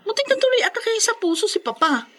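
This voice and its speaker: Bright, sharp-tongued scheming daughter. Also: female voice, extremely high pitch, sing-song, fast talker, heavily acted. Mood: irritated. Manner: bossy, snappy. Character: shrewd, sharp-tongued daughter guarding her inheritance. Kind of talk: radio drama acting.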